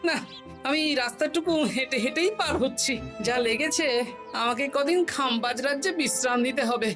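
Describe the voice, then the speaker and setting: Woman voicing an excitable, high-pitched grandpa jester. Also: a little fast, music in the background, close to an everyday voice. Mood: alarmed, complaining. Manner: exclaiming, chatty, groaning. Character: witty, food-loving grandfather jester, excitable and complaining. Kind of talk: cartoon voice acting.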